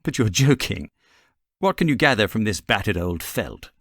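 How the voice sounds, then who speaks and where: Man playing curious British gentleman, lively pitch. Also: moderate pace, dry recording, clean studio sound, full character voice. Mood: puzzled, inquiring. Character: genial, curious gentleman companion of a Victorian detective. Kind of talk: audiobook character reading.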